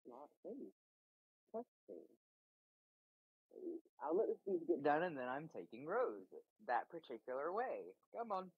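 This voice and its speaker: A husky-voiced player chatting casually and insistently in a roleplay game over a voice call. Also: mid-range voice, big pitch swings, a little slow, pauses often, no room sound. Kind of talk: casual gaming chat. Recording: dull, dry voice-chat audio.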